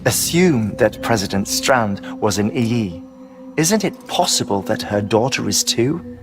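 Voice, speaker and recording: An actor playing an earnest, eccentric male scientist who lectures in measured, deliberate phrases in a video game cutscene. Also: lowish voice, animated, music in the background. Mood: cautious, pondering.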